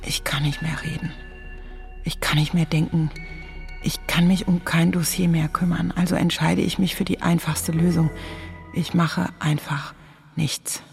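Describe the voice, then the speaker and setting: Creaky-voiced, exhausted, numb woman. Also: on the low side, little pitch movement, measured pace, soft background music. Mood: whispered, drained. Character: exhausted, numb journalist woman, withdrawn and passive. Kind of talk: radio drama monologue in German.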